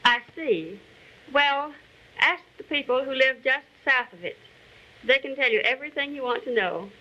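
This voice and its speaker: A neighborly housewife type in a 1940s government film. Her voice is high and bright, her delivery plain and a little curious. The sound is dull and dated. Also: expressive, normal speed. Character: female neighbor answering a census taker, mildly curious. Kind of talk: 1940s instructional film acting.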